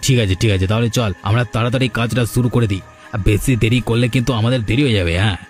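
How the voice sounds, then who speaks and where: A man plays a jealous village idler from a cartoon, always scheming. His voice is medium in pitch, and he grumbles and blusters in everyday colloquial speech. Faint music plays underneath. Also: fast talker, close to an everyday voice. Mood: conspiratorial. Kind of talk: cartoon dialogue.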